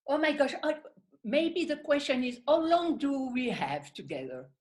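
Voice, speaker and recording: A woman's voice: high-pitched, sing-song, normal speed. A woman from French-speaking Switzerland with a Swiss French accent, a guest artist in a conversational interview who laughs often and thinks aloud.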